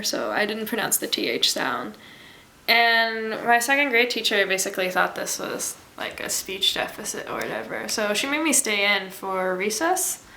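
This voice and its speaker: A woman's voice: medium pitch, gravelly, pushed and bright, roomy sound, a little hiss or hum, low-quality recording. A young woman, a college student whose first language is Mandarin, speaking hesitantly with many fillers in a documentary interview. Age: young adult.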